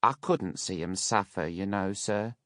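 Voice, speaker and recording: A man's voice: sits low, unhurried, dry recording, clean studio sound. A man voicing a fawning, two-faced workhouse matron with sugary, wheedling, uneducated speech in an audiobook. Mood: ingratiating.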